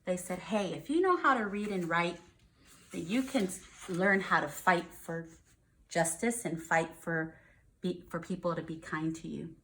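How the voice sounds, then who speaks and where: A woman's voice: on the low side, unhurried, fairly bright tone, a little hiss or hum, rough recording. An American woman, a first grade teacher, reads aloud to young children and explains things simply, checking in with questions.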